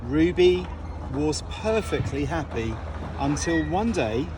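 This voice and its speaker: A man reading a children's storybook aloud in an expressive, deliberate way, with dramatic pauses. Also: fairly high pitch, background noise.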